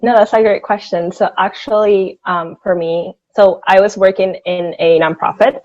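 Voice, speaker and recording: A woman's voice: mid-range voice, fairly even intonation, moderate pace, a little mellow, highs cut off. A woman in her thirties from Venezuela, answering interview questions conversationally and informally, often saying "like". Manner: informal, peppers sentences with "like". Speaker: interview guest, senior financial analyst.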